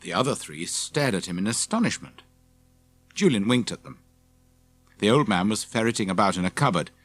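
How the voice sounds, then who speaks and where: Guy narrating a kids adventure audiobook, lowish voice, pitch goes all over. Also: sing-song, normal speed, fairly bright tone, soft background music. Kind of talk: scripted children's story narration.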